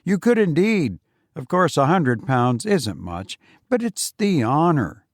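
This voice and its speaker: A man's voice: on the high side, very animated, slow pace, no room sound, studio quality, heavily acted. A man voicing a smug, patronizing, chatty English writer with a gushing, falsely modest manner in an audiobook story. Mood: pleased, boastful. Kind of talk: acted dialogue in a literary audiobook.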